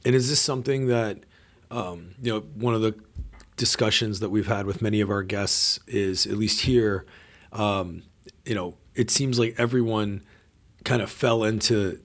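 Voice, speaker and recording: A male co-host with a lowish voice asks casual, meandering questions. He is easygoing and quick to laugh along with his guest. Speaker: podcast co-host. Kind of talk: conversational interviewing.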